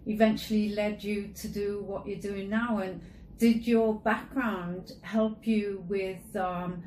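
A woman's voice: mid-range voice, steady tone, slow pace, a little mellow. A woman, chatting casually as she conducts an interview. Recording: roomy echo, faint background noise.

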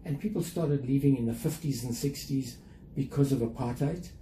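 An older man with a South African accent, talking reflectively and carefully as an interview guest, with measured, hesitant phrasing. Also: mid-range voice, dark tone. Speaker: interview guest, museum chief executive. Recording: roomy echo, faint background noise.

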